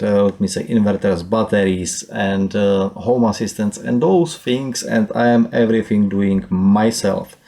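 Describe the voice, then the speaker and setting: Slow, halting Czech-accented man. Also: lowish voice, a little mellow. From Czech Republic. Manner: halting, with frequent "uh" and "let me say". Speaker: YouTuber. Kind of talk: screen-share channel walkthrough.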